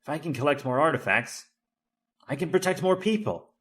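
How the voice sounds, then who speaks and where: A man's voice: fairly high pitch, lively intonation, fairly quick, fairly bright tone, voice pushed up. A man playing an earnest, bold young hero in a tokusatsu audio drama, with a declamatory heroic manner. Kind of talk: audio drama superhero acting.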